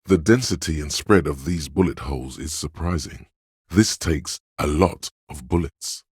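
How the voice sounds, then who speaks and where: A man voicing a watchful, detached inner sense of perception in a game, describing details in a slow, measured way with a deep, husky voice. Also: darkish tone, dry recording, clean studio sound, heavily acted. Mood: observant. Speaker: voice actor for a game character. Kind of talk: scripted game voice lines.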